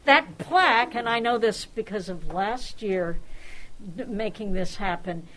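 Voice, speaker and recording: A woman host presenting an award informally at a club luncheon, chatty and halting, often interrupting herself. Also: mid-range voice, lively intonation, a bit bright, poor audio. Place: restaurant luncheon room. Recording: busy restaurant crowd chatter.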